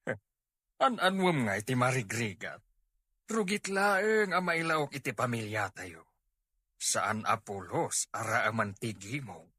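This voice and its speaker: A man's voice: mid-range voice, expressive, a little slow, bright, forceful tone, slightly rough, dry recording, full character voice. A man with an Ilocano Filipino accent playing a proud, judgmental father, indignant and scornful, in a comedy drama.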